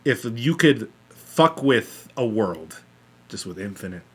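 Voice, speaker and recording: A man co-hosting a podcast, talking in a casual, joking way, halting and discursive with some swearing. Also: on the low side, big pitch swings, breathy edge. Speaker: podcast co-host.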